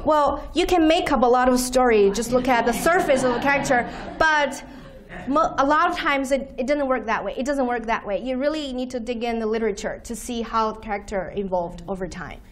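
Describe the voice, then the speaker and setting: A woman whose first language is Chinese, giving a university lecture from a podium and explaining terms slowly with many "okay"s. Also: on the high side. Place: lecture room, standing at podium by projector screen.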